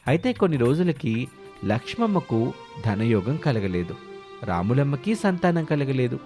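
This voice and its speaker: Male storyteller, scripted narration, mid-pitched voice, faint music behind. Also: sing-song, normal speed, darkish tone.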